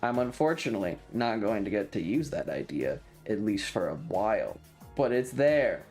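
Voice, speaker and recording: A man's voice: fairly low pitch, very animated, normal speed, darkish tone, faint music behind. A man chatting casually as a streamer, thinking aloud in an informal, sweary way. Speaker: streamer and video creator. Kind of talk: casual rambling monologue.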